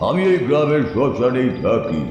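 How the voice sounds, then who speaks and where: A man voicing a menacing aghori tantric spirit who speaks slowly and commandingly from the cremation ground. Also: mid-range voice, big pitch swings, highs cut off, close to an everyday voice. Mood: taunting. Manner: slow, coaxing, commanding. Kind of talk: audio drama acting. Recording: heavy echo, long reverb, music under.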